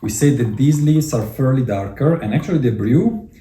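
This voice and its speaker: A man with an Italian accent hosting a tea tasting, talking in a relaxed, chatty way with frequent fillers. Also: mid-range voice, moderate pace, roomy sound. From Italy. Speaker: tea channel host.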